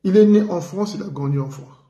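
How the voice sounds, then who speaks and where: A man's voice: sits high, brisk, mellow, roomy sound, dull audio. A man from the Republic of the Congo giving an indignant political commentary on community radio. He repeats himself for emphasis and speaks with feeling. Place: living in France.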